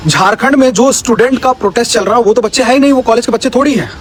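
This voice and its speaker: A man's voice: extremely high pitch, racing. A young man delivering a sarcastic political rant full of mocking rhetorical questions. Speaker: political commentator.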